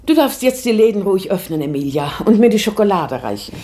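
A woman's voice: mid-range voice, big pitch swings, fast talker, mellow, a little hiss or hum, lightly acted. An actress playing a pious, blissfully assured lady of the house in a radio play, rapt and composed. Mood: serene, rapturous. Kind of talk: 1960s German radio play acting.

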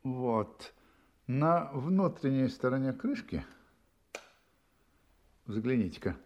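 An actor playing a brilliant, confident detective in a Russian radio play, explaining deliberately and emphatically with dramatic pauses. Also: male voice, medium pitch, big pitch swings, darkish tone, dull audio. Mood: confident, explanatory.

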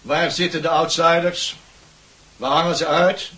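Radio play schoolmaster: high-pitched, barking and sarcastic bully, heard a little way off the mic. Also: male voice, steady tone, unhurried, pushed and bright, far from the mic. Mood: scornful, commanding. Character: stern, scornful male schoolteacher bullying his class. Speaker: actor in a radio drama. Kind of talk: Dutch radio play acting.

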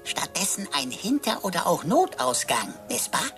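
A woman's voice: lowish voice, sing-song, normal speed, fairly bright tone, soft background music. A woman playing a cheeky little talking computer in a comic radio play, flippant and mock-formal, fussing over words. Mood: smug, teasing.

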